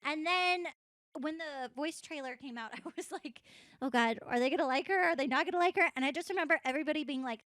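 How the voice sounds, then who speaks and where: A young voice actress with a very high-pitched natural voice, telling stories animatedly and with giggles on a panel. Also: female voice, fast, fairly bright tone, dry recording, studio quality. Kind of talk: casual convention panel chat.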